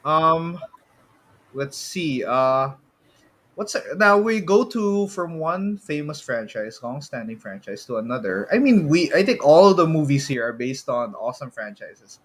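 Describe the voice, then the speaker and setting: Male podcast host, slightly high voice, Filipino accent, conversational, drops Tagalog particles into English. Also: expressive, a little slow, a bit bright. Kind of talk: casual podcast chat.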